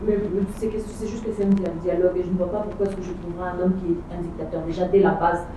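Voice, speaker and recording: A woman's voice: on the low side, level tone, fast talker. A young woman giving her views in a casual debate, insistent, repeating her points and slipping in English and Lingala words. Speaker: panel guest. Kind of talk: casual debate on a street panel. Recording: echoey, distant mic, faint noise.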